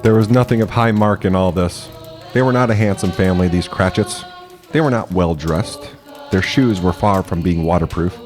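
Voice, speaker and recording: Lowish guy narrating an old story, measured, radio play style, faint music. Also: darkish tone.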